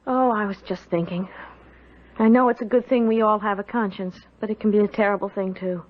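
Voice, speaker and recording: A woman's voice: mid-range voice, steady tone, a little fast, lightly acted. An actress playing an earnest, guilt-ridden young servant girl in old-time radio drama, with a sensitive, dramatic delivery. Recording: muffled old radio broadcast.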